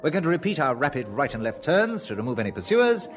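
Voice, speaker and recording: An actor playing a shrewd, determined amateur investigator in an audio drama, assured and probing with a dry wit. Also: male voice, a little high, big pitch swings, fast. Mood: brisk, purposeful. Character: shrewd, determined amateur investigator, calm and dryly witty man. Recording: faint music behind the voice. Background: faint music.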